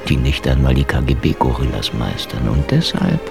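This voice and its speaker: Casting: jaded Soviet-era gumshoe for radio noir, deep creaky voice, laconic sarcasm. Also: male voice, unhurried, music in the background, full character voice. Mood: wry, weary. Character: sardonic, world-weary Soviet-era private detective. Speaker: first-person narrator and lead character. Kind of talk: noir radio drama monologue and dialogue.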